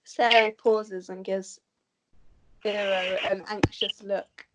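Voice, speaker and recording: A woman's voice: medium pitch, little pitch movement, takes it slowly, very noisy. A woman with a mid-range voice reads out stage directions. It is scripted narration for an amateur table read of a drama.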